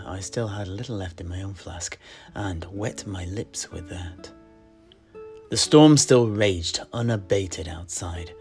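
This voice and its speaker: A man playing a tavern keeper priest in a fantasy tale, recounting his adventures with deliberate, theatrical phrasing and hushed emphases. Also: low-pitched. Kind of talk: in-character fantasy storytelling. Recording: music behind the voice. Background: plucked guitar music.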